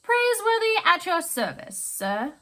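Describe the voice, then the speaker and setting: A woman voicing a calm, courteous male butler who speaks formally. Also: extremely high pitch, measured pace, voice pushed up, exaggerated voice. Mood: polite.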